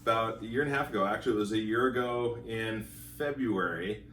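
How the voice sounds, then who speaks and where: A man's voice: fairly low pitch, a bit bright. A middle-aged man, a school teacher from Montana, telling his marriage story with many fillers and restarts. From Montana, United States. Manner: halting, many fillers and repeated words. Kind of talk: casual testimonial. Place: home in California. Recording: roomy echo, voice from a distance.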